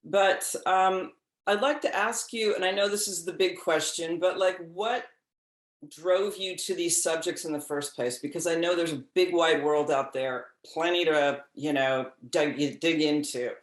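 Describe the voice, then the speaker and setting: A moderator welcoming panelists and asking questions with enthusiasm, rambling a little with many fillers. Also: male voice, on the high side, fairly even intonation, measured pace, reverberant. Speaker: moderator, TV critic.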